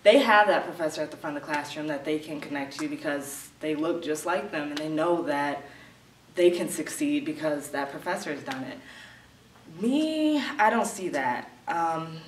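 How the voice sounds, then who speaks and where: A young female student speaks candidly in an interview. Her voice sits low for a woman. The room echoes and there is faint noise behind her. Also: animated, normal speed, recorded from a distance. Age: young adult. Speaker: college student.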